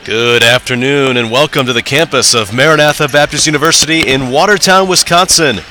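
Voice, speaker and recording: A man's voice: mid-range voice, bright, forceful tone. A man announcing a college women's basketball game, welcoming viewers and running through team records with the odd filler. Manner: friendly welcoming, reads stats with occasional uh fillers. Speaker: play-by-play announcer. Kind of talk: live sports broadcast commentary. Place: university gym broadcast.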